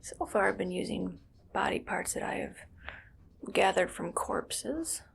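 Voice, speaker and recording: Woman doing a creepy calm mad scientist lady for asmr, whispery and really slow, morbid and musing to herself. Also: medium pitch. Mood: softly menacing. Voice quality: breathy whisper with soft creaky voicing. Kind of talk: ASMR roleplay.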